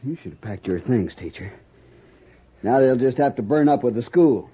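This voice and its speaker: An American actor playing a bullying, menacing gang leader in an old-time radio Western, with folksy rustic speech. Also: male voice, medium pitch, animated, moderate pace, husky. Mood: taunting, menacing. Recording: muffled phone-like old radio recording, faint noise.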